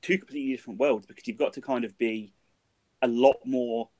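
A man's voice: mid-range voice, fairly even intonation, fairly quick, darkish tone. A man answering interview questions casually as a guest writer, rambling and correcting himself as he goes. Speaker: guest, audio drama writer.

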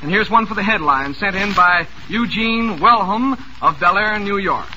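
A man with an American accent hosts a vintage radio quiz in a very bright, forceful voice. His patter is chatty and jovial. The old broadcast recording has faint noise. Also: high-pitched, lively intonation, normal speed, muffled recording. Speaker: radio quiz show host. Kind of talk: 1940s radio quiz hosting. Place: radio studio.

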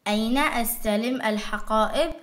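Woman modeling pronunciation, very quick, slightly high voice, short careful words. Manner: short, carefully spoken single words and phrases. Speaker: language teacher pronouncing words. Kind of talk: model word pronunciation for learners.

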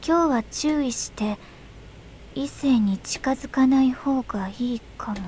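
Woman doing aloof noble girl, high voice, quiet composed delivery, game music behind. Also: fairly quick, slight background noise, close to an everyday voice, low-quality recording. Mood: gloomy. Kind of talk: Japanese visual novel voice acting.

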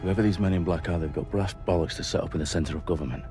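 A man's voice: deep voice, fast talker, warm tone, faint music behind, close to an everyday voice. A man playing a gritty, cocky secret agent in a video game, with a British accent and a blunt, sweary manner. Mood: focused. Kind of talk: video game cutscene acting.

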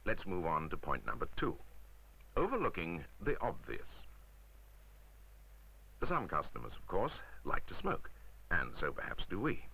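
A man's voice: on the low side, pushed and bright, husky, rough recording. A British man narrating a 1960s staff training film in a scripted, wry, emphatic instructional manner, on a vintage soundtrack.

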